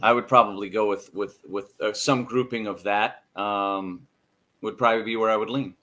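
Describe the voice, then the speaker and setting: Male American sportscaster as interview guest, slightly deep, thoughtful, conversational. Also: steady tone, moderate pace, pushed and bright. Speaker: podcast guest, sports broadcaster. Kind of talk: casual interview answers.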